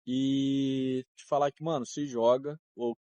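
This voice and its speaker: A man's voice: medium pitch, flat delivery, slow, warm tone, smooth, dry recording. A young man from Brazil in his twenties, vlogging outdoors in a rambling, slangy, laid-back way, often drifting off topic and laughing. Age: 20s. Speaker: travel vlogger. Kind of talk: casual rambling vlog. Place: outdoors in cold wind.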